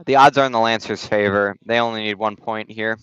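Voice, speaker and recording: A young man doing amateur play-by-play for a volleyball game over a headset, his voice slightly raspy, casual and halting with restarts. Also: on the low side, fairly even intonation, a bit bright, no echo. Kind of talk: amateur sports commentary. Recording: dull, dry gym-stream audio.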